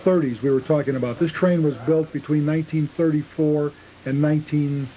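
A male guest with a mellow, slightly high voice explains his craft in casual interview talk. The old cable TV tape carries a faint hiss. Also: fairly even intonation, a little fast, rough recording. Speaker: guest, model train restorer.

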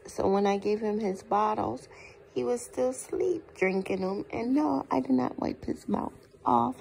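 A young woman, a mother, narrating a casual family vlog at home into a close-held phone, softly cooing to her baby. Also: a little high, gravelly, a little hiss or hum, low-quality recording. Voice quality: soft, cooing baby talk. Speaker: vlogger mother. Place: home living room. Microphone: phone camera held close.

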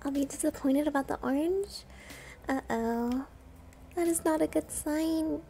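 High-voiced, mellow woman streaming a game. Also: measured pace, gravelly, a little hiss or hum. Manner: playful, self-deprecating. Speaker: streamer playing a video game. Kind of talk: casual gameplay commentary.

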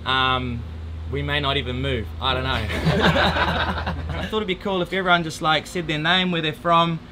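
A man's voice: on the high side, normal speed, very noisy. A man in his thirties hosting a sailing trip, talking casually with fillers on a catamaran deck. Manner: relaxed, hesitant with fillers. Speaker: boat captain and channel host. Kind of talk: casual group briefing.

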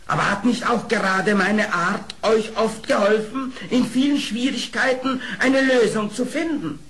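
An actor playing a sly, proud, eloquent fox in a radio play, speaking in a theatrical, deliberate way. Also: male voice, sits high, fairly bright tone. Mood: proud, defiant.

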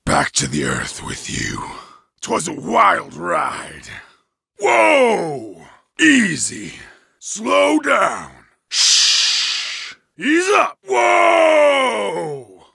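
A gravelly feral berserker. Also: male voice, sits high, wildly swooping pitch, very slow, no echo, clean studio sound, exaggerated voice. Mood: commanding. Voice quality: gravelly, raspy. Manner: snarling, bellowing battle shouts. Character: feral wolf-worshipping male berserker warrior. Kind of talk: video game voice lines.

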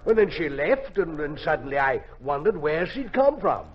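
A colonel in a vintage radio play, fatherly and easily flustered. His lively pitch jumps about as he blusters and stammers, over a slightly muffled, hissy recording. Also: normal speed. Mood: agitated, baffled. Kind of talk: 1950s radio drama acting.

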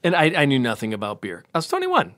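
A man's voice: mid-range voice, wildly swooping pitch, fast, fairly bright tone, no echo. A man in his forties, a podcast guest in casual chat, talking emphatically and preaching his views. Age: 40s.

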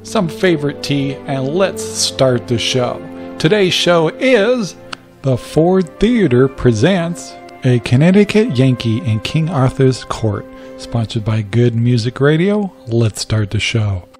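A man's voice: mid-range voice, expressive, takes it slowly, a little mellow, faint music behind. A man reading a scripted show introduction as a podcast host.

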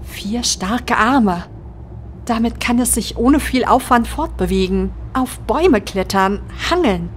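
A woman's voice: on the high side, animated, slow, a little hiss or hum. A woman playing a tense deputy in a horror audio drama. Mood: uneasy. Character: tense female deputy examining a creature. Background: faint eerie music.

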